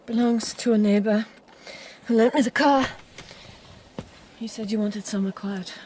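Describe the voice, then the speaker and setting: An actress playing a guarded, secretive young lover and courier in a radio drama, with clipped, evasive phrasing. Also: female voice, fairly high pitch, normal speed, a little mellow, a little hiss or hum, close to an everyday voice. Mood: gentle, caring. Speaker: actor.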